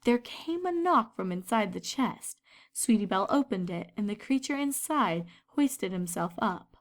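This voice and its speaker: A woman's voice: medium pitch, lively intonation, a little slow. A woman reading scripted story narration as the narrator of a fan fiction.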